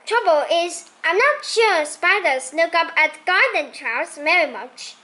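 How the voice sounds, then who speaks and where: Female children's book reader, extremely high and bright, slow and expressive delivery. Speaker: storybook reader. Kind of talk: expressive children's story read-aloud.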